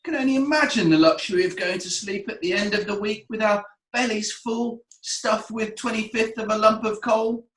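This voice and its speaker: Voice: mid-range voice, normal speed, hardly any pauses, a bit bright, lightly acted. Echoey, hesitant, hard-done-by errand boy acted by an adult. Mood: woeful, earnest. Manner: hesitant, deferential. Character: bearded-looking eight-year-old errand boy, hard-done-by and earnest. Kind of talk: comic radio play acting. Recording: roomy, echoing sound.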